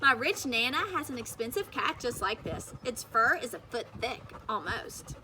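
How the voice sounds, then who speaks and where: A woman's voice: a little high, lively intonation, moderate pace, sharp tone, slight background noise, rough recording. A woman voicing a boastful, self-satisfied rich first-grade girl in a children's storybook. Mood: proud. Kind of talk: acted children's storybook read-aloud.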